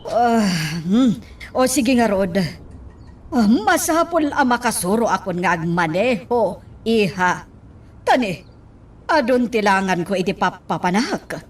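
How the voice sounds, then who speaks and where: A Filipino woman playing an excitable, nervous, wheedling wife in a radio drama, with theatrical exclamations. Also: medium pitch, slow pace, far from the mic. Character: excitable, nervous, pleading wealthy wife learning to drive.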